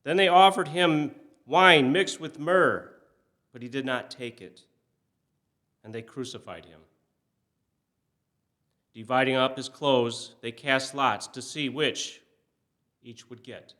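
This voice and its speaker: A man reading scripture solemnly for a church service, measured and expressive, stressing quoted cries and letting phrase endings fall away softly. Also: medium pitch. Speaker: scripture reader.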